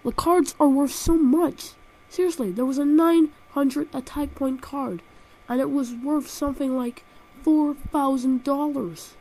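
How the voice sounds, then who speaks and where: A woman's voice: sits high, fairly even intonation, unhurried, dark tone, poor audio. Nasal woman with a cold, sarcastic. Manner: sarcastic, exaggerated comic rants. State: has a cold. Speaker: game reviewer. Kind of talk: scripted video game review. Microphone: built-in laptop microphone.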